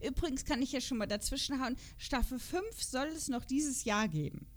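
High-voiced German woman chatting casually. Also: moderate pace. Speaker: podcast co-host. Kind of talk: casual podcast chat.

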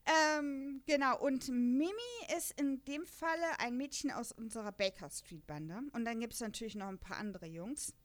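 A woman's voice: on the high side, moderate pace, few pauses. Chatty young woman podcast host. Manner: chatty, many fillers, laughs between points. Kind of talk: casual podcast chat about an audio drama.

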